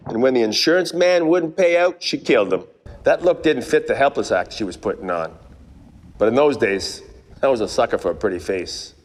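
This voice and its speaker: An actor playing a wry, world-weary 1940s private detective in a parody of old radio noir. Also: male voice, a little high. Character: wisecracking hard-boiled 1940s male private detective. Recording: echoey stage or hall reverb.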